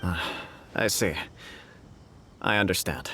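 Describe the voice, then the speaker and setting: A man's voice: sits low, slow pace, bright, forceful tone, a little hiss or hum, heavily acted. A man voicing a courteous, dignified clan official in an anime game, speaking formally and politely. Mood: conciliatory.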